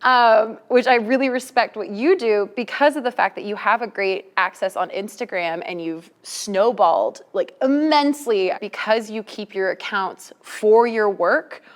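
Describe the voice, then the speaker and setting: A woman chatting casually as a guest creator, animated and acting out her own asides. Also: mid-range voice, measured pace, few pauses. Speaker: guest, comic creator and streamer. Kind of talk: casual chat.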